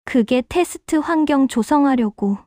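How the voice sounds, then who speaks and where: A man's voice: extremely high pitch, expressive, unhurried, dry recording, clean studio sound, pitched above the performer's own voice. A male narrator voicing a timid young woman intern who pleads and trails off. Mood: evasive. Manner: trailing off, begging softly.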